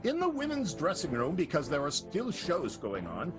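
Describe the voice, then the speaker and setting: A man's voice: a little high, huge pitch swings, moderate pace. A man narrating and hosting an improvised radio soap in a wry, announcer-like way. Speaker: narrator and host of improv show. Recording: loud guitar music under the voice.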